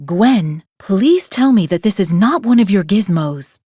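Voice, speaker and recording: A woman's voice: medium pitch, expressive, a little slow, warm tone, breathy edge, no room sound, studio quality. A woman voicing a stern but loving father, a palace chef in a storybook, speaking emphatically. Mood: exasperated.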